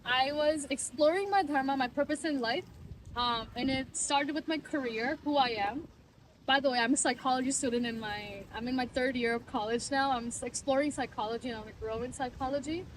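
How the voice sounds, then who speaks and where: High-voiced young woman, earnest and reflective. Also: a little fast, recorded from a distance, slight background noise, rough recording. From Bangladesh. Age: 20s. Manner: reflective, earnest, laughs easily. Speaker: client giving a testimonial. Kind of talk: casual interview.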